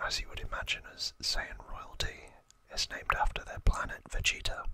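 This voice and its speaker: Asmr host whispering real close n dry, slow and halting, casual trivia explainer. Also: no room sound. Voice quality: soft unvoiced whisper. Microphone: close microphone.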